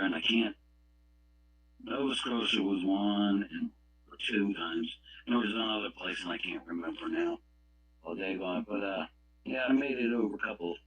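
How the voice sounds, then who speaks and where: A man with a low, husky voice takes part in a radio club net. He rambles along in a casual, folksy style. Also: fairly bright tone, low-quality recording. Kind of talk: casual chat over a walkie-talkie app.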